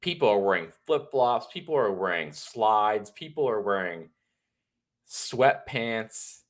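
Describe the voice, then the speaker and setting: Congested man with a low voice. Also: animated, takes it slowly. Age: 30s. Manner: chatty, rambling, opinionated. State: congested and a little tired. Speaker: political livestream host. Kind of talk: casual solo livestream monologue.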